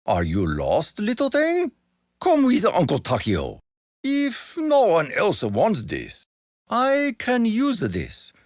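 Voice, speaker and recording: An actor playing a proud, honor-bound Japanese imperial soldier in a video game, speaking with a Japanese accent in stiff, formal phrases that keep collapsing into dry jokes. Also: male voice, high voice, no room sound, full character voice. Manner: formal, stiff phrasing with sudden comic deflation.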